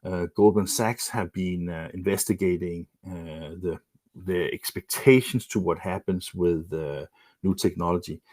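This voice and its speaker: A Danish-accented man gives a lecture-style webinar in a low voice. He pauses often and restarts his sentences. The sound is dry and close to the mic. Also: darkish tone, dry recording. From Denmark. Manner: hesitant, many fillers and restarts. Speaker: webinar presenter, magazine editor.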